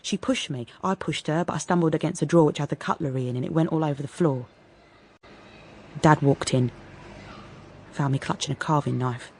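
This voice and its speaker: A woman's voice: low-pitched, a little fast, pauses often, a little mellow, breathy edge. An actress playing a brash, combative young woman with a London accent, cheeky, blunt and streetwise, in a radio drama. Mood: defiant, reflective. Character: brash, combative, teasing working-class young woman, ex-soldier. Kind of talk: radio drama monologue and dialogue.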